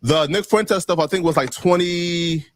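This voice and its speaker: A man's voice: high-pitched, normal speed, dry recording. A man answering interview questions defensively, rambling and packing his speech with "like" and "right?". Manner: rambling, heavy fillers, restarts. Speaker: interview guest.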